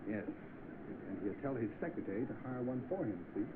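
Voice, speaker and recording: A wary, scheming boyfriend acted by a man in a vintage film. He talks fast and clipped, with a knowing manner, over a tinny, noisy soundtrack. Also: medium pitch, lively intonation, natural voice.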